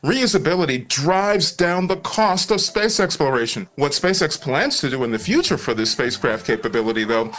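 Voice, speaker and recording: Animated higher-pitched man, expert commentary. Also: normal speed, few pauses. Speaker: astronomer, expert interviewee. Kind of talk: measured expert explanation. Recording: music underneath the voice.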